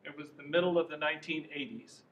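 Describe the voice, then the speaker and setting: A man in his sixties giving an informal talk to an audience in a university classroom, conversational and animated, with some restarts. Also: a little high, fast, muffled recording. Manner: conversational, gestures while talking, some restarts. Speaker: guest speaker, lobbyist. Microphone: far camera, no mic. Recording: echoey classroom from far off, faint noise.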